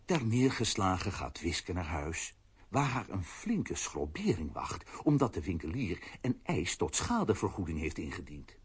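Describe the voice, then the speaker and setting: Husky, highly animated male narrator. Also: a little slow. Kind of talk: scripted children's story narration.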